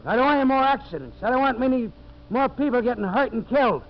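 A man acting a rough, hard-driving logging boss in a 1950s radio drama, speaking bluntly with repeated phrases. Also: very high-pitched, lively intonation, fast. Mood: drunk, angry. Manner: blunt, forceful, repeats himself. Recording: muffled, noisy 1950s radio recording.

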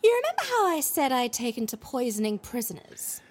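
A woman's voice: fairly high pitch, big pitch swings, fairly quick. An actress playing a vain, playful and imperious scheming queen in a comic audio drama, with a theatrical, haughty, flirtatious manner. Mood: sly, pleased.

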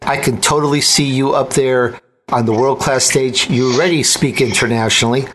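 A man's voice: medium pitch, steady tone, moderate pace, fairly bright tone, slight background noise. A man hosting an interview podcast, effusive and heaping praise on his guests. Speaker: show host.

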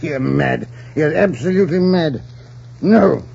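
An actor playing a pompous, flustered middle-aged colonial governor with a blustering, stammering delivery, in 1940s radio style. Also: male voice, fairly high pitch, expressive, unhurried, creaky, a little mellow, full character voice. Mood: alarmed, blustering. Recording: dull, noisy 1940s radio recording.

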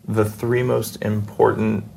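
A man hosting a podcast interview, talking in an informal, earnest and slightly halting way. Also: fairly low pitch, flat delivery, vocal fry, warm tone, slight background noise. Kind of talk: conversational interview.

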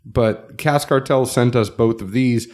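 A man's voice: mid-range voice, unhurried, a little mellow. A man with a nasal voice co-hosting a casual podcast, chatting loosely and running through sponsor plugs. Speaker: podcast co-host.

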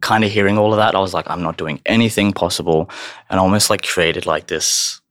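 Low-voiced man, dry close sound. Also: fairly even intonation, fairly quick, fairly bright tone, no echo. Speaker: interview guest, dancer. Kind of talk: casual, candid chat.

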